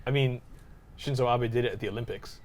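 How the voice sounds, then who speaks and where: A man's voice: mid-range voice, quick pace. A man co-hosting a podcast in a casual group discussion, with some noise behind him. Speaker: podcast co-host.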